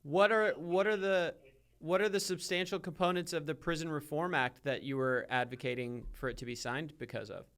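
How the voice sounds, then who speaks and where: A man's voice: a little high, normal speed, fairly bright tone, clear, resonant tone. A man hosting a podcast, asking questions in a casual interview style.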